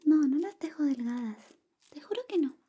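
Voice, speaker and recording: A woman whispering softly and gently in an ASMR roleplay, playing a friend who grooms you. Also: sits high, expressive, normal speed, lo-fi sound. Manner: soft whispering, close and gentle.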